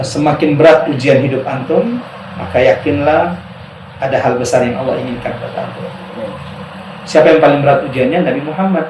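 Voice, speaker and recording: A man's voice: mid-range voice, a little hiss or hum, poor audio. A man from South Sulawesi with a Makassar accent, a guest Islamic preacher telling his story and giving earnest religious advice on a podcast. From South Sulawesi, Indonesia. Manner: earnest, unhurried storyteller who turns into forceful exhortation.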